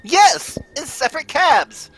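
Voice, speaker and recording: A performer playing an earnest, excitable Victorian gentleman doctor telling his adventure in a radio play. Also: high-pitched, takes it slowly, soft background music, pitched above the performer's own voice, full character voice, low-quality recording. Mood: earnest, excited. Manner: earnest, storytelling. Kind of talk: old-time radio drama narration.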